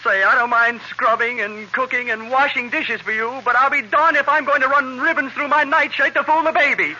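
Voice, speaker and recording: An actor playing a harried, fussy househusband in a whining, exasperated manner, in 1930s radio comedy style. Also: male voice, very high voice, steady tone, a little fast, pushed and bright, heavily acted. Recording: noisy, muffled 1930s radio broadcast.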